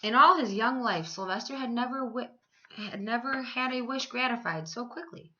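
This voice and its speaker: Female teacher for storybook read-aloud: bright, expressive phrasing, medium pitch, muffled home-recorded sound. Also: moderate pace, slight background noise. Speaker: schoolteacher reading aloud.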